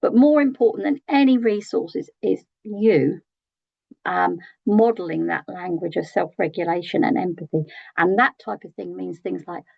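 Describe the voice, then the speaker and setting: A British woman with a croaky, gravelly voice leads an early years training session. Her delivery is chatty, and she often checks in with tag questions and pauses on "um". The sound is dry and a little dull. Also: lowish voice, no room sound. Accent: British English. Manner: conversational, asks tag questions, frequent ums. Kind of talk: training webinar talk.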